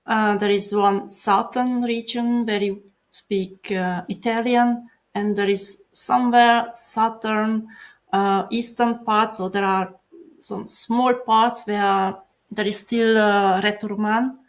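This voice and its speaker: A woman speaking English as an interview guest. She talks haltingly, with many filler pauses. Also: medium pitch, steady tone. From Hungary. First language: Hungarian. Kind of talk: casual interview answers.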